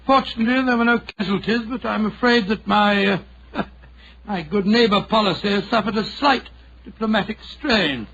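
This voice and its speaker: A genial old british gent telling stories, high pitched, avuncular, bit hesitant, muffled 40s radio drama sound. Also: male voice, normal speed, a bit bright, husky, exaggerated voice. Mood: genial, reminiscing. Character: Doctor Watson, genial older English gentleman storyteller. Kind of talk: 1940s radio drama storytelling. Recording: muffled vintage 1940s radio recording.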